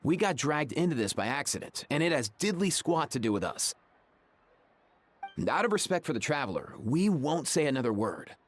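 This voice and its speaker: An earnest, folksy, deferential fellow, swooping pitch. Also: male voice, very animated, normal speed, a little hiss or hum. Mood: earnest reassurance. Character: deferential bystander man, folksy and eager to stay uninvolved. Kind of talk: animated video game voice acting.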